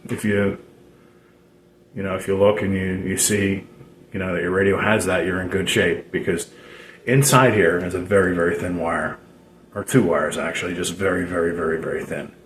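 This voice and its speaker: A man giving a casual, unscripted DIY how-to in a halting, rambling way, repeating himself as he explains. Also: deep voice, fairly bright tone. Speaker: DIY radio hobbyist presenter. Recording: roomy echo, noticeable background noise.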